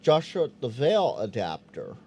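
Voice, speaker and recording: A man hosting a live stream, chatting loosely with his viewers and sounding out Morse code as he goes. His pitch jumps up and down, and he restarts his words often. A faint hum of room ambience sits behind him. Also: huge pitch swings, a little slow, dark tone. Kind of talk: casual live-stream chat reading viewer comments.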